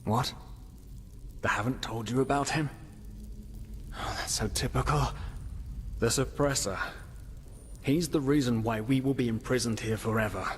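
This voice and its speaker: An actor playing a despairing, half-mad prisoner in a video game, weary and fatalistic, brooding in halting, philosophical lines. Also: male voice, mid-range voice, close to an everyday voice. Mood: weary, resigned. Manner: brooding, halting, philosophical, with sighs and whispery trailing words. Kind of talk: dramatic video game dialogue acting. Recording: long hall reverb, noisy ambience.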